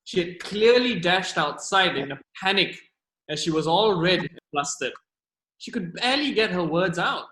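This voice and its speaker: An indignant orchard owner, played by a man recounting the night's events to the court. His voice is bright and slightly high, heard with a roomy echo. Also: lively intonation, normal speed, a little hiss or hum. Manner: indignant, vivid storytelling. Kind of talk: amateur radio drama. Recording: echoey room.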